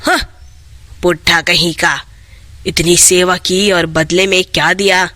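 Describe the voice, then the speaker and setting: A storyteller acting out a bright-voiced, greedy schemer. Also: medium pitch, steady tone, slow, no echo, voice pushed down. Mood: angry, scornful. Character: greedy, impatient young man Sohan, scheming and scornful.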